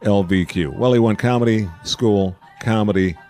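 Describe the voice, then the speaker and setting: A man's voice: fairly low pitch, normal speed, mellow, faint music behind. A middle-aged man hosting a podcast, chatting casually over a meal at a burger bar and telling stories with a dry, joking manner. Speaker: podcast host.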